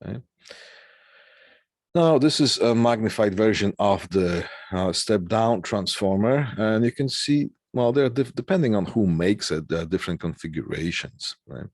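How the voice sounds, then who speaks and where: A man with a fairly deep voice, recorded close and dry with little room sound. He speaks as an instructor walking through technical slides. His explanations are informal and halting, punctuated by small tags that check the listener is following. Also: darkish tone, no echo.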